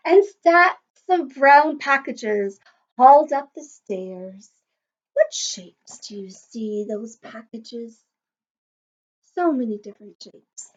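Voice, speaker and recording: Woman, high voice, unhurried pace, encouraging teacher talk, children's story read-aloud, little questions to the listeners, slightly lo-fi sound. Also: expressive. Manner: encouraging, sing-song teacher talk addressed to small children. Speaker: teacher reading a picture book to young children.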